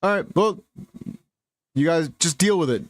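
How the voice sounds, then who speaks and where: Relaxed man hosting a tech stream. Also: fairly high pitch, moderate pace, no echo. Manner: relaxed, talks through thoughts with restarts. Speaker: livestream host. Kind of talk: casual live tech chat.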